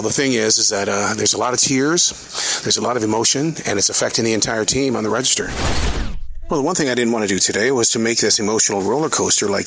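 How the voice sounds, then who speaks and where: A man's voice: on the low side, fairly bright tone, a little hiss or hum, low-quality recording. A man from the American South, hosting his podcast as a chatty, sarcastic work diary recorded while walking around a retail store. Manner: chatty, wry, sarcastic, restarts often. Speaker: podcast host. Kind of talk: casual spoken work diary.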